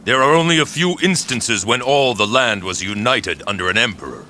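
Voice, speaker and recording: A man's voice: mid-range voice, lively intonation, normal speed, bright, forceful tone, a little hiss or hum. A man playing a commanding, visionary warlord of ancient China in a video game, with an assured, grand and probing delivery. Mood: grand, persuasive. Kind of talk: dramatic video game cutscene acting.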